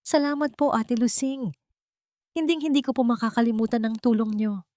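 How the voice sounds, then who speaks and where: A woman's voice: fairly high pitch, normal speed, warm tone, no echo, pitched above the performer's own voice, lightly acted. A woman with a Filipino accent voicing a polite, pleading young housemaid in an audio drama story. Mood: tearful gratitude.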